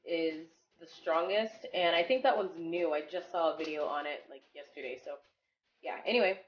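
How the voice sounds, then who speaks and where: A woman's voice: low-pitched, normal speed, a little hiss or hum. A young woman with a congested voice from a cold, casually chatting through a hair tutorial with lots of fillers. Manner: chatty, filler-heavy, rambling. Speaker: beauty vlogger. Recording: dull lo-fi sound with background music. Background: music.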